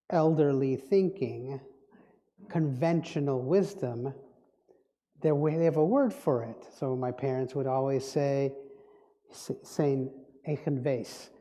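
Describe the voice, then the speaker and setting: A man's voice: on the high side, dark tone. Man, guest speaker at a spiritual gathering, musing, repeats himself, long hall reverb. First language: Dutch. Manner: reflective, musing, circles back on words. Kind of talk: spiritual talk.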